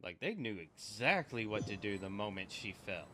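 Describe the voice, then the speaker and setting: A man's voice: on the low side, very animated, moderate pace, sharp tone, a little hiss or hum. Man casually commenting on a game. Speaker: gaming streamer.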